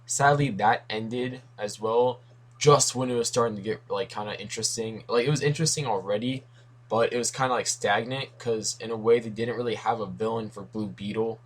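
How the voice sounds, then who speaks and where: A man's voice: lowish voice, a little fast. A young man hosting a comics talk video in a casual, rambling way, his voice worn from losing it this week. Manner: rambling, self-correcting, lots of asides.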